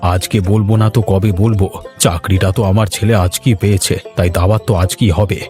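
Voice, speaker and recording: Guy doing a proud happy village farmer dad for a moral cartoon, mellow, music under it. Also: low-pitched, steady tone, brisk, lightly acted. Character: proud cheerful village father, middle-aged farmer. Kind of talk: Bengali moral-story cartoon dubbing.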